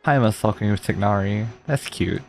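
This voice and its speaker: A man's voice: fairly low pitch, moderate pace. A man giving casual playthrough commentary as a video game streamer. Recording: game music behind the voice.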